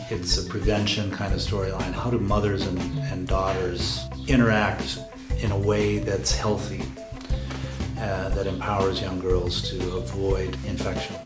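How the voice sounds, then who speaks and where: A man giving an explanatory, documentary-interview style account of a production, pausing mid-sentence to find his words. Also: sits low, level tone. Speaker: radio drama project lead explaining the production. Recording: roomy echo with loud music behind. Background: music with guitar.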